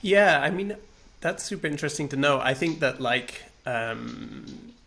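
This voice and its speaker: A man's voice: on the high side, lively intonation, a little slow, fairly bright tone. A man, a game developer on a panel, talking in a thoughtful, hesitant way with many fillers and restarts. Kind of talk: casual panel conversation.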